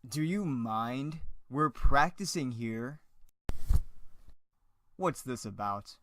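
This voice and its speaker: A man's voice: medium pitch, animated, extremely slow, a bit bright, a little hiss or hum. A man playing a vain, evasive, theatrical movie actor in the style of a 1940s radio drama. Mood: startled, impatient.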